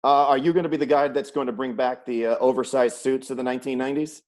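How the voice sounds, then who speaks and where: A man with a medium-pitched voice hosts a sports interview. He talks conversationally, in the role of an announcer asking a guest questions. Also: fairly even intonation, fast, a little mellow. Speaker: host, sports play-by-play announcer.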